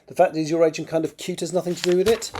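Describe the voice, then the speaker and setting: A man's voice: a little high, level tone, rapid-fire, a little mellow, slight background noise, voice pushed up, close to an everyday voice. A man voicing a candid, teasing non-binary character in a story.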